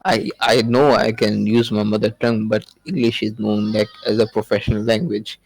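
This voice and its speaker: A man's voice: fairly low pitch, little pitch movement, normal speed, vocal fry, a little mellow, poor audio. A man whose first language is Urdu, chatting casually in English on a podcast, hesitant, with many fillers and restarts.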